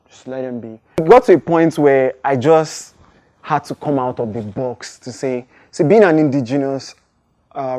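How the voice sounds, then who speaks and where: A young man with a Nigerian accent whose first language is Igbo, answering interview questions in a thoughtful, unhurried way. His voice is mellow and warm, and it sits at a middling pitch for a man. He often pauses with fillers and restarts his sentences as he works through an idea. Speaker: hip-hop artist.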